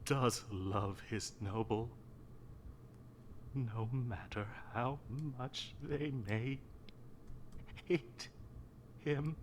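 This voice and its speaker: A man's voice: medium pitch, slight background noise. A man playing a possessive yandere prince in a story game, telling a bedtime story in a tender, controlling manner. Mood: eerily tender. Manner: slow, tender, controlling delivery. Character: possessive yandere prince, soft-spoken and menacingly tender lover. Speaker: game voice actor.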